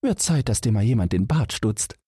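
A man's voice: lowish voice, very animated, moderate pace, mellow, slightly rough, dry recording, studio quality, pitched above the performer's own voice, exaggerated voice. A man voicing a bold, cocky young hero with a jaunty, teasing manner in a fairy-tale audiobook.

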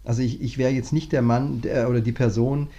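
A man, a management consultant, talking through his career in a relaxed interview, thinking aloud with many restarts. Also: mid-range voice, brisk, muffled recording. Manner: thoughtful, many fillers and restarts. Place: lives in Cape Town, remote interview.

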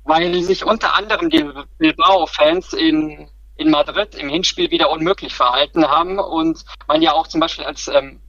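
Bright-voiced chatty man, slightly muffled line. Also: fairly high pitch, fairly even intonation, normal speed, hardly any pauses, dry recording. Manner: chatty, rambling with self-corrections. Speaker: guest fan commentator. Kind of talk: casual podcast chat.

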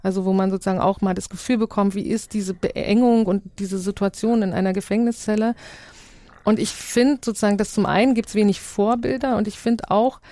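A woman's voice: mid-range voice, dark tone, no echo, studio quality. A woman speaking as a guest in a discussion, explaining her work spontaneously and reflectively, often posing rhetorical questions. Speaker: guest, immersive journalism project maker.

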